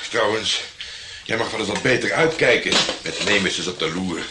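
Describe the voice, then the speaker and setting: A man's voice: lowish voice, moderate pace, very noisy, close to an everyday voice. An actor playing a cocky, patronizing, hot-headed tough-guy private detective in a Dutch radio drama. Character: swaggering, blustering male private detective, tough-guy loner.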